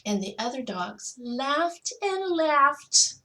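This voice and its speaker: A woman's voice: sits high, big pitch swings, slow, bright, forceful tone, slight background noise. A woman reading a children's picture book aloud expressively, stretching out key words for emphasis. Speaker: school technology coordinator reading to children.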